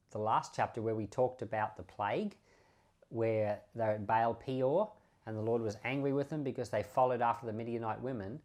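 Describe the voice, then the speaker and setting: A man's voice: mid-range voice, a little mellow. A man speaking as a pastor, explaining scripture in an informal, conversational way and stressing key words by repeating them. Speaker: pastor and podcast host. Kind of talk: informal Bible teaching commentary.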